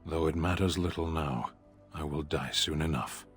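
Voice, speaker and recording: A man's voice: extremely low pitch, moderate pace, soft background music. A man voicing a stoic, proud giant warrior, terse, grave and formal, in a fantasy game. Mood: grave, resigned.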